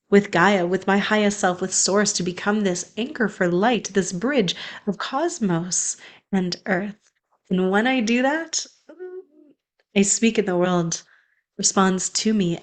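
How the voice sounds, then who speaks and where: Young woman coach doing a spiritual pep talk, earnest and flowing, medium-pitched voice. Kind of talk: inspirational monologue.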